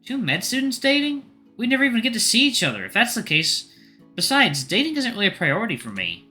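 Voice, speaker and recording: Hesitant twenty-something student daughter played by a man, lifted pitch, bright tone, slightly defensive, audio drama. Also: huge pitch swings, quick pace, faint music behind, heavily acted. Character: awkward young woman, 23-year-old medical student daughter.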